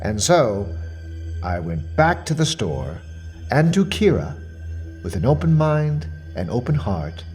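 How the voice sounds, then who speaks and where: An actor playing a fussy, self-important mystery shopper with quiet menace, delivering a precise, deliberate monologue in the form of a report. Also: male voice, a little high, huge pitch swings, soft background music, full character voice. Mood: self-justifying. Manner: pompous, precise, deliberate, dwelling on words. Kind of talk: dramatic monologue as a spoken questionnaire report.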